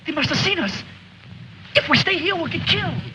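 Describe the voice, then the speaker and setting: Panicky young soldier, extremely high sharp voice, anxious, old war-film acting. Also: male voice, animated, brisk, heavily acted. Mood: panicked. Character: frightened, jittery young male soldier. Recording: muffled old film soundtrack, faint noise.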